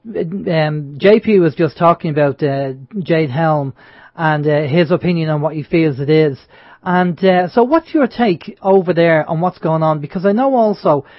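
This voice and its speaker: High-voiced chatty male radio host. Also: no room sound. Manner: loose, conversational questioning. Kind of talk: casual roundtable chat. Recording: dull online call audio.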